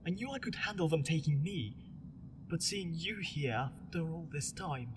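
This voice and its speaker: A man's voice: a little high, lively intonation, moderate pace, close to an everyday voice. A man playing an earnest, guilt-ridden prisoner. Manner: earnest, subdued. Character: captured young man, guilty and protective. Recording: reverberant, noisy ambience.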